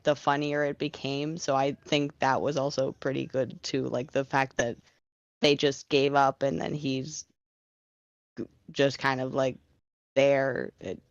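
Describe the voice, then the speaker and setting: Casting: informal speaker of medium pitch, clean tone, muffled close online call. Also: vocal fry, no room sound. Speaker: table read participant giving feedback. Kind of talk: casual spoken feedback. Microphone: online meeting call.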